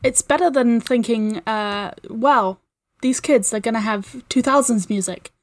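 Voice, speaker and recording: A woman's voice: a little high, unhurried. A woman guest-hosting a film podcast in casual, conversational talk.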